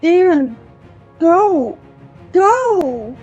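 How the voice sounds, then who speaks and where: A woman performs an imperious, commanding figure in an audio drama. Her voice is piercingly high and swings widely, over faint music in a slightly muffled recording. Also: sing-song, extremely slow, darkish tone, full character voice. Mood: frantic, commanding. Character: imperious villainous empress, shrill and commanding.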